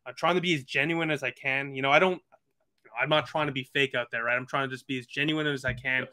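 A man's voice: mid-range voice, fairly even intonation, fast, sharp tone. A man of about twenty, chatting earnestly as a podcast guest over a video call with lots of fillers. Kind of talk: casual video call chat.

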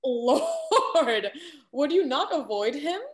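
A woman's voice: high voice, huge pitch swings, very slow, full character voice. A young woman playing a needling society confidante in a period stage comedy, arch and theatrical. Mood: cautioning. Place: indoor room, video call.

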